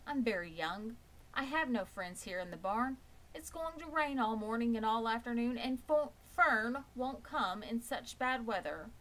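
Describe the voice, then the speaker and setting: A woman's voice: on the high side, lively intonation, measured pace, a bit bright, voice pushed up, low-quality recording. Wilbur, a woman doing a plaintive young pig. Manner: plaintive, pleading. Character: lonely young male pig, earnest and sad.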